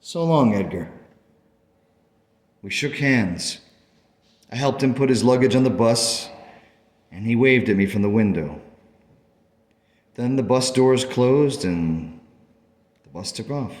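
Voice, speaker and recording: Long reverb surrounds a man acting as a thoughtful, grateful father in a radio play. His voice sits at an ordinary middle pitch, and he speaks with measured sincerity, as someone reflecting on a strange story. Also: expressive, a little mellow. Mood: warm, curious. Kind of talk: radio play dialogue and first-person narration.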